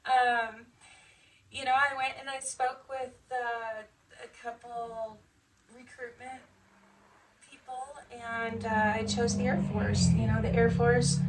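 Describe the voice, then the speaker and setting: Slow-talking American woman, fairly high voice. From United States, Nevada and Michigan. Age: 50s. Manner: chatty, often chuckling, halting. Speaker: interviewee, military veteran. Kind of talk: casual personal storytelling interview. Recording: distant mic, faint background noise.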